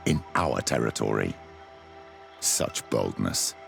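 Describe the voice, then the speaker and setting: A man playing a gruff soldier companion in a fantasy game, with a gravelly voice. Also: rumbling low, expressive, slow, fairly bright tone, faint music behind, heavily acted. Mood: grim, indignant.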